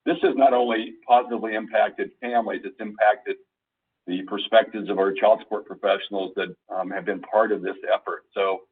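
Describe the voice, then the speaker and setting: A man giving an informal slide presentation over a conference call line, explaining things in a halting, deliberate way. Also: on the low side, steady tone, husky, far from the mic, phone-quality sound. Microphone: conference call phone line.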